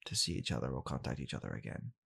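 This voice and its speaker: Young british guy doing deadpan asmr as a bitter tired dad, super low husky voice, halting and quiet. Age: young adult. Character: bitter, weary father coldly blaming his young child. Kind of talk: deadpan comedic ASMR roleplay monologue. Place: small home room, indoors.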